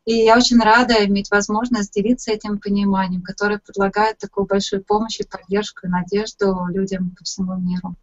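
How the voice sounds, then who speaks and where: A woman's voice: medium pitch, expressive, a little fast, hardly any pauses. Woman interpreting over a dull call line. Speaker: host and interpreter. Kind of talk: consecutive interpreting into Russian. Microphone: webinar call.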